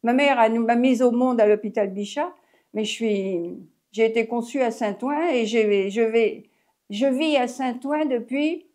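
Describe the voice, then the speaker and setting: A woman's voice: on the high side, a little slow, dark tone. A French woman in her eighties, a retired taxi driver, telling her story in a rambling, chatty interview with many restarts. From France. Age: 80s. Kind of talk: oral history interview.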